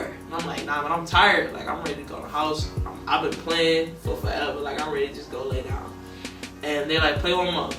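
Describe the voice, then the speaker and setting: A young woman from with a deep, monotone voice tells a personal story in a relaxed, rambling documentary interview. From Detroit. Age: young adult. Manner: laid-back, rambling, heavy with fillers. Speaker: college basketball player. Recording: roomy echo, distant mic, music behind.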